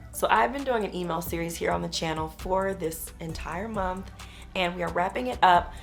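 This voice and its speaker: Hoarse woman, 30s, business coach; rough, gravelly, lowish voice, upbeat and chatty. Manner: chatty, upbeat, uses "y'all". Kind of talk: casual talk to camera.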